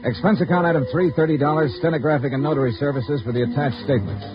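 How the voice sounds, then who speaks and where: An actor playing a dry, probing insurance investigator in a 1950s radio detective drama, clipped and matter-of-fact. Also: male voice, a little high, fast, over music, close to an everyday voice. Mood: dry narration. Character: dry, probing male insurance investigator narrating his case. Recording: dull, noisy old radio recording.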